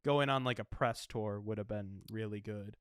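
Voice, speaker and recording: Guy on a movie podcast, kinda rambly and halting, smooth clear voice, no room sound. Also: on the low side, no echo, clean studio sound. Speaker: film podcast host. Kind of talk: casual film discussion.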